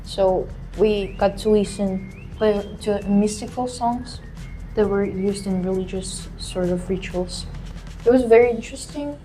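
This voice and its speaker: Mellow-voiced young woman from Russia. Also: medium pitch, a little slow, faint music behind, low-quality recording. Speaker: reaction video guest. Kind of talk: casual chat.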